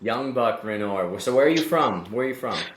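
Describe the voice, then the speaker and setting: A man's voice: mid-range voice, animated, moderate pace, reverberant, a little hiss or hum. A man in his late twenties hosting a casual remote interview, upbeat and encouraging, chatty with fillers, on a video call. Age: late 20s. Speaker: interview host, company executive.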